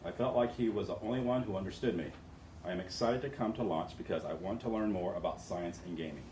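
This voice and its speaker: A roomy, distant recording of a man reading a character profile aloud. His voice is on the low side. He is an ordinary participant reading from a text to a group. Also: fast, a little mellow. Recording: roomy, distant mic, faint noise.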